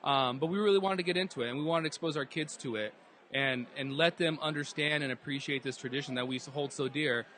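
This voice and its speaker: A man's voice: on the high side, quick pace, fairly bright tone, slight background noise. A man earnestly telling his story as a guest in a casual interview at a live event hall. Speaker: guest, dance group organizer.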